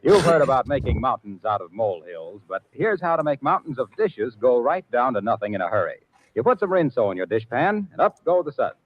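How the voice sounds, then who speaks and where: A male announcer delivers a 1940s radio commercial with a salesman's upbeat push. His mid-range voice is very lively, on a dry, muffled vintage recording. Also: medium pitch, no echo.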